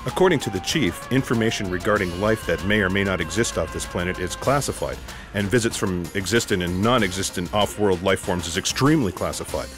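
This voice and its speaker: Man as low-voiced, wry ex-secret agent. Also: fairly quick, music in the background, natural voice. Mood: wry, indignant. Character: wry male ex-secret agent, disillusioned truth-seeker. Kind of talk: comic sci-fi audio drama acting.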